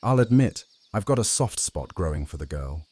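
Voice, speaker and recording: A man playing a composed, courteous, secretive vampire officer in a fantasy audio drama, measured and formal. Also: deep voice, sing-song, darkish tone, a little hiss or hum. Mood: earnest.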